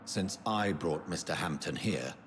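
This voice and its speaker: A man's voice: low voice, animated. A man playing a grave, composed doctor and vampire in a video game, speaking formally and politely, with music behind him. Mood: resolute. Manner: formal, polite, measured wording. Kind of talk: video game dialogue acting.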